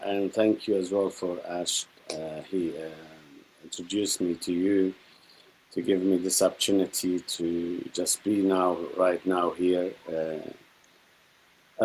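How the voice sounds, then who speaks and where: A middle-aged man with a Syrian accent and Arabic as his first language speaks low and very slowly. His voice is husky. He tells his story reflectively, halting and repeating phrases while he searches for the next word. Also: a little mellow, rough recording. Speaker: guest, spiritual healer.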